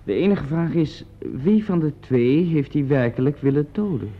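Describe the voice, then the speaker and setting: Police sidekick, dutiful, matter-of-fact, lively intonation, dull vintage radio recording. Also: male voice, on the high side, moderate pace. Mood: businesslike. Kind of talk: Dutch radio play acting.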